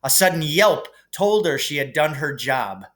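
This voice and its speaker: A man reading a children's novel aloud as expressive narration. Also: on the high side, unhurried. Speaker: reader.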